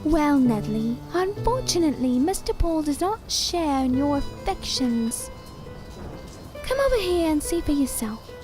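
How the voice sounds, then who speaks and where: A woman's voice: high voice, very animated, unhurried, music in the background. An actress playing a small living doll, once a real woman, who speaks in a sweet, theatrical, old-fashioned way in an audio drama. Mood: hurt, tender. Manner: theatrical, coaxing, ornate old-fashioned phrasing.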